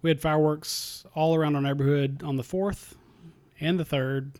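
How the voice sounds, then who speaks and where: A man's voice: fairly high pitch, fairly even intonation, moderate pace, a little mellow. A man, telling easygoing stories with dry humor as a podcast co-host. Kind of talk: casual chat.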